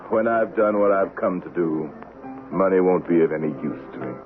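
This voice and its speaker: A grim, mysterious stranger of the Old West played by a man speaks in a very low voice, terse, cold and deliberate. It is vintage radio drama, with thin narrow-band sound and faint music. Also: close to an everyday voice. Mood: cold, commanding. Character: grim, mysterious stranger bent on revenge in a western. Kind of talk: 1970s radio drama acting.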